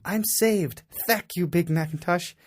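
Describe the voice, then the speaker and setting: A man's voice: sits high, unhurried, no echo, clean studio sound, pitched above the performer's own voice. A man voicing a smitten, gushing young female pony in a storybook romance. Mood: relieved.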